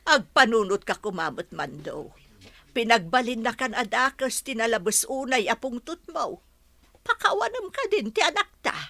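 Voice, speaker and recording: A woman's voice: on the high side, very animated, moderate pace, pushed and bright, dry recording, exaggerated voice. A woman playing an earnest, protective older mother in an audio drama, speaking emphatically and dramatically. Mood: pleading. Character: older mother, protective and pleading for her daughter.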